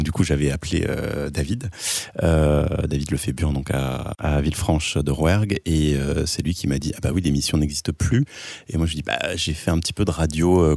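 A man's voice: very deep voice, creaky, darkish tone, dry recording. A man, a radio co-host, chatting in an interview and rambling with frequent restarts and fillers. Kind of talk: casual interview chat.

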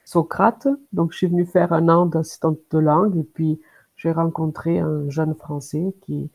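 A woman in her fifties, originally from Portugal, speaks in a relaxed radio interview. Her voice sits slightly low and sounds warm and soft-edged. She pauses and fills gaps as she searches for words. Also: gravelly, breathy edge. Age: 50s. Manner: hesitant, many pauses and fillers. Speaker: radio show co-host. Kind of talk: casual interview chat.

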